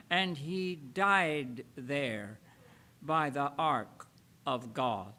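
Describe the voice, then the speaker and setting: A man reading scripture aloud solemnly, with deliberate, emphatic phrasing and frequent pauses. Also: high voice, sharp tone. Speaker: Bible reader.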